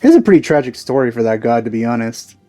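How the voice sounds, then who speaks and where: A man hosting a let's play, giving casual, low-key, musing commentary on a game. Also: very animated, quick pace, warm tone, soft background music.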